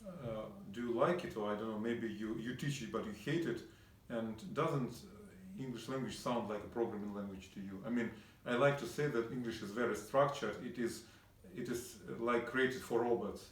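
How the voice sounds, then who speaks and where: A man hosts a talk show in a mid-pitched voice, stumbling and repeating words as he asks questions. He sounds set back from the microphone in an echoey room. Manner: halting, with many repeated words. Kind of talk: casual interview questions. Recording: echoey room, heard from a distance.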